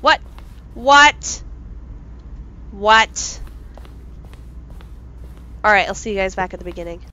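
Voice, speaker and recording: A woman with a stuffy nose, commenting casually and excitably on a video game as its let's play host. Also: mid-range voice, slow, long pauses, dull audio. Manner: excitable, bursts into yelps and laughs. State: stuffy from a cold.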